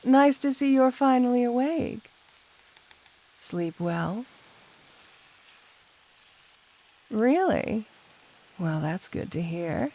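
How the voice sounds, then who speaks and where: Slow, creaky, flirty supervillainess, acted by a woman. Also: fairly high pitch, animated, full character voice, low-quality recording. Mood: doting.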